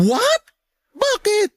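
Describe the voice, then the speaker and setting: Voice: very high-pitched, very animated, drawn-out words, no echo, studio quality, exaggerated voice. An actor playing a playful, teasing, flamboyant best friend in a radio drama, with sassy Filipino delivery and theatrical exclamations. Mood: surprised, dramatic.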